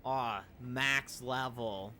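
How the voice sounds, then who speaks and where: A man's voice: medium pitch, extremely slow, background noise. A man streaming a video game, thinking aloud with eager casual commentary over game music.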